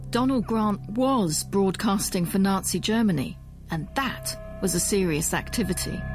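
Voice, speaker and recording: A woman narrates a history documentary at a middle pitch. Her scripted delivery is measured and articulate. Light music runs underneath. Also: gravelly.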